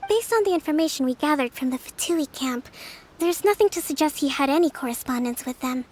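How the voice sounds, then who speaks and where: A woman playing a composed, shrewd young priestess leader in a video game, speaking in a measured, formal, gentle but firm way. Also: high voice, animated. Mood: firm, official. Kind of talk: scripted video game voice acting.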